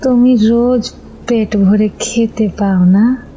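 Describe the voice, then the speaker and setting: A woman playing a gaunt, sinister ghost of a village woman in a horror audio story, hungry and bitter, muttering menacingly in drawn-out words. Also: on the high side, steady tone, mellow, a little hiss or hum, poor audio. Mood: prying. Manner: slow muttering, drawn-out, menacing speech, at times breathy whispering.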